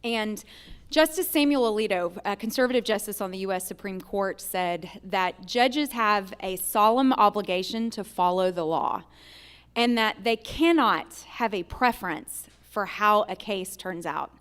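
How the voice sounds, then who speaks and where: American lady running for judge, smooth clear voice, measured and earnest forum answer. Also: medium pitch, fairly bright tone. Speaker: judicial candidate. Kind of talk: candidate forum speech.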